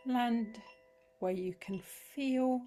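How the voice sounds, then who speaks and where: A woman guiding a yoga nidra meditation in a soothing manner, speaking in short phrases with long pauses. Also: a little high, warm tone. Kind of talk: slow guided meditation. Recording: voice over chime music bed. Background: meditation music with chimes.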